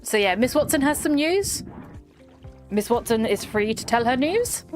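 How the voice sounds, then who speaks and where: A woman's voice: fairly high pitch, very animated, normal speed, soft background music. Giggly woman streamer with a slight cold. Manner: giggly, chatty, talks to chat by name. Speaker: game streamer. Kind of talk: casual live-stream chat.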